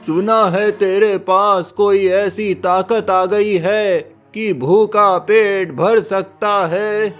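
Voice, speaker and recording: A man voicing an eager male villager in a cartoon story, calling out loudly from outside a hut. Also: sits high, fairly even intonation, faint music behind, voice pushed up, full character voice. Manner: loud calling out, slow.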